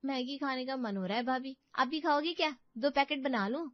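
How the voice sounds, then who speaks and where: Young daughter-in-law, breezy woman actor, high voice, family cartoon, slightly lo-fi. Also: moderate pace, dry recording, lightly acted. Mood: casual. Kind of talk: Hindi moral-story cartoon dialogue.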